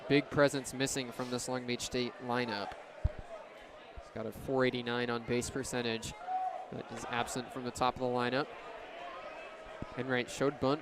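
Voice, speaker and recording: A man in his twenties doing live baseball play-by-play on the radio in clipped, descriptive phrases. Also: mid-range voice. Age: 20s. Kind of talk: live sports commentary. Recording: crowd and outdoor ballpark noise behind. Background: ballpark crowd.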